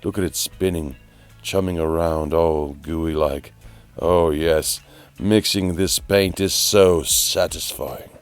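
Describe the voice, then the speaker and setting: A man voicing a flamboyant, theatrical announcer who muses grandly and teasingly in a mock play-by-play for an online video. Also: low-pitched. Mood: relishing. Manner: grandiose, drawn-out, musing aloud, mock-dramatic.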